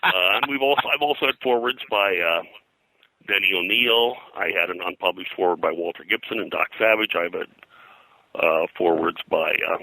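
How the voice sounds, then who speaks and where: Older male interviewee, low-pitched and forceful, digressive raconteur reeling off names and anecdotes. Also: normal speed, dry recording, rough recording. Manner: digressive, name-dropping storyteller. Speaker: pop culture historian and guest. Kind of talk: rambling anecdotal interview talk.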